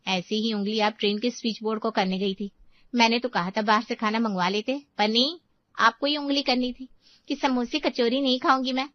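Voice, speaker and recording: A woman's voice: a little high, fairly even intonation, a little fast, no room sound, muffled recording, lightly acted. A woman voicing a competitive, self-righteous daughter-in-law in a dramatised family story. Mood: indignant.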